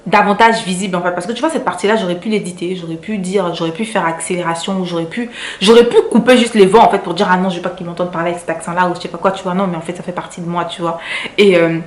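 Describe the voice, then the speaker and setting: A woman's voice: lowish voice, fairly even intonation, fast, reverberant. A woman from Cameroon with a French accent, chatting to her viewers in a casual vlog, repeating words for emphasis. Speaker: vlogger.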